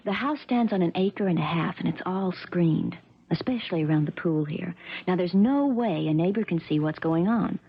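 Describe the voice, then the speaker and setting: A woman's voice: lowish voice, animated, moderate pace, husky. An actress playing a spoiled, scheming young rich woman, cool and poised, in a 1970s radio drama. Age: young adult. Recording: muffled, hissy old radio broadcast.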